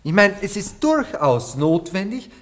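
A man acting an evasive, flustered philandering gentleman in a German radio comedy, placating and dryly ironic. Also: high voice, big pitch swings, measured pace. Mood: impatient, placating.

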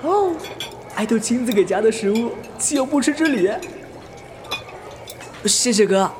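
An actor playing an excitable, naive young male idol in a comic audio drama, expressive and flustered, with music underneath. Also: mid-range voice, measured pace, background noise, close to an everyday voice. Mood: hesitant, delighted. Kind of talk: audio drama acting, comedic.

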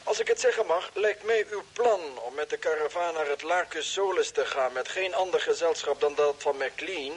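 An actor playing a dutiful, worried base radio operator in an old radio drama, deferential and a little hesitant, with a husky voice. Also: male voice, fairly high pitch, moderate pace, few pauses. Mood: respectful, worried.

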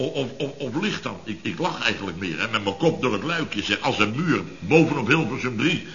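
A man's voice: mid-range voice, sharp tone, heavily acted. An actor playing a blustering, indignant boss of a building firm in a radio comedy, stammering and repeating himself as he rambles. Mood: agitated, amused. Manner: stammering repetitions, sputtering, rhetorical tags. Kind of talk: 1970s radio comedy acting. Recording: dull vintage radio recording.

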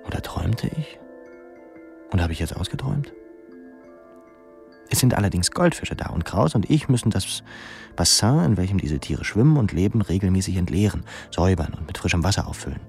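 Brooding young male pupil, deep voice, ironic halting musing, radio drama, music underneath. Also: creaky, a little mellow. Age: young adult. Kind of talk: introspective literary radio drama monologue.

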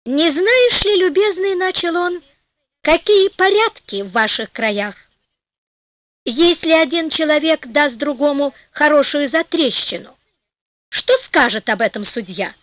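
A woman's voice: sits high, expressive, unhurried, a bit bright, no echo, pitched above the performer's own voice. A woman voicing a cocky, penniless nobleman in a storybook tale, with a theatrical, mock-courteous and taunting manner. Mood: smug, courteous. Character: cocky, penniless nobleman, polite and cunning trickster.

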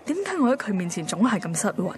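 A woman's voice: medium pitch, big pitch swings, fast talker, a faint crowd, lightly acted. An actress playing a sentimental, timid young woman photographer, narrating a radio drama introspectively in Hong Kong Cantonese. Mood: self-conscious. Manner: introspective, colloquial storytelling.

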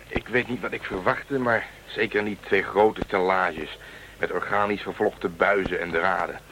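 An actor as a triumphant space explorer back from his mission. He has a lowish voice and a wry storytelling manner. The radio play has faint background noise. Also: a bit bright, lightly acted, poor audio.

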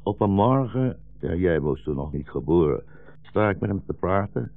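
An actor playing a weary, gentle old blind rabbi in a 1960s radio drama, speaking slowly and solemnly as a philosophical storyteller. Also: male voice, deep voice, lively intonation, vocal fry. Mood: sombre, reminiscing. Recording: dull, roomy 1960s radio recording.

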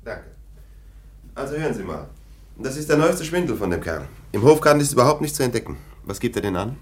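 Police lieutenant, curt, commanding, skeptical, lively pitch, radio drama. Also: male voice, brisk, husky. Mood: brusque. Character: brisk police officer, a lieutenant, sharp and skeptical. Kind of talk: radio play acting.

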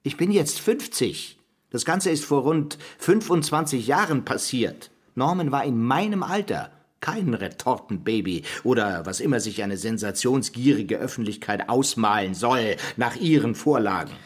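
A man playing a sardonic, evasive presidential candidate in his fifties in a radio drama, condescending and husky, fond of rhetorical questions. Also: medium pitch, animated, normal speed. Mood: defensive. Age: 50s.

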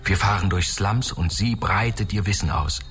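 A man's voice: deep voice, normal speed, a bit bright. An actor playing a sardonic, exasperated man who narrates a radio drama in dry, clipped phrases. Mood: recounting, amused. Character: sardonic, exasperated man recounting his holiday. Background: music.

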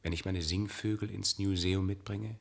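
A man's voice: sits low, steady tone, moderate pace, gravelly. An actor playing a cynical male singing idol in a radio play, mocking and theatrical. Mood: wry. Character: cynical Orpheus, male idol singer of the future. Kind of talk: German radio play acting.

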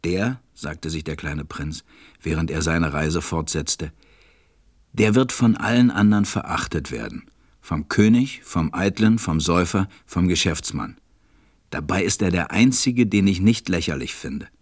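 A man's voice: low voice, expressive, unhurried, no room sound, clean studio sound. A man narrating a storybook audio drama from a script.

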